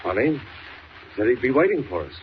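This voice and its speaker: An actor playing a blustering, stubborn middle-aged governor in a 1940s radio serial. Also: male voice, medium pitch, sing-song, fairly quick, close to an everyday voice. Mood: puzzled. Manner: blustering, exclamatory. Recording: noisy, dull old radio transcription.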